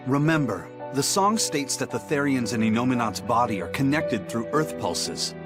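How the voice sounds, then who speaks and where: A methodical male strategist, played by an actor, explains in a medium-pitched voice. He is matter-of-fact, in fantasy game style, with loud music behind him. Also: expressive, moderate pace, close to an everyday voice. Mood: explaining. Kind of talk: video game cutscene voice acting.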